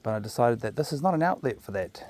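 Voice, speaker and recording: A man's voice: mid-range voice, expressive, fast talker, a little mellow. Laid-back New Zealand man rambling outdoors. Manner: laid-back, chatty, jokey asides. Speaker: vlogger walking his dog. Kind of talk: casual rambling monologue. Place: outdoor park walking trails. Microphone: chest-mounted camera.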